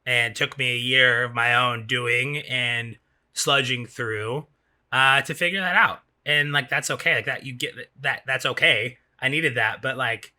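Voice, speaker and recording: A man's voice: medium pitch, measured pace, bright, forceful tone. A man hosting a podcast in casual chat, informal and circling back to restate his points. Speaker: podcast host.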